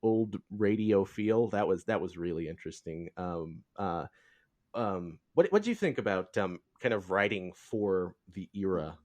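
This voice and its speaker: A man hosting a casual podcast interview, talking in a halting, rambling way as he feels for words. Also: low voice, expressive, darkish tone. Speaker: podcast host.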